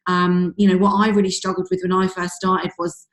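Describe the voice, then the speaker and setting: A woman with a husky, fading voice, coaching her sales team on a call in a chatty way full of fillers. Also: lowish voice, completely flat delivery, fairly quick. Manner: chatty, many fillers like "um" and "you know". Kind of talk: casual motivational coaching talk. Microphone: video call. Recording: echoey room over a video call.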